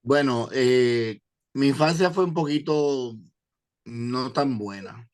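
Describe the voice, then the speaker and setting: A man from Puerto Rico with a Puerto Rican accent, an evangelist giving his testimony in an interview, pausing often with "eh" and quoting scripture. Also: mid-range voice. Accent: Puerto Rican Spanish. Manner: hesitant, many "eh" fillers, quotes scripture. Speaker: evangelist guest.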